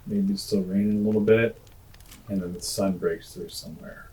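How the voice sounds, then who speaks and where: Low-pitched man reminiscing casually. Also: level tone, normal speed, darkish tone, a little hiss or hum, poor audio. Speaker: host and storyteller.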